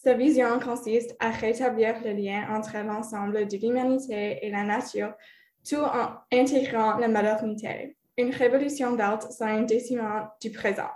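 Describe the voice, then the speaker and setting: A woman's voice: on the high side, fairly even intonation, normal speed, a little mellow, reverberant, poor audio. A woman with an anglophone Ontario accent in French, a native English speaker hosting a podcast, reading her introductions carefully. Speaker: podcast host. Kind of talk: reading scripted introduction, then interviewing.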